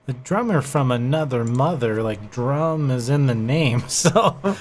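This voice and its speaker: A man in casual panel chat, measured and a little hesitant. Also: medium pitch, lively intonation. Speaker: panelist, synth reviewer. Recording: faint background noise on call.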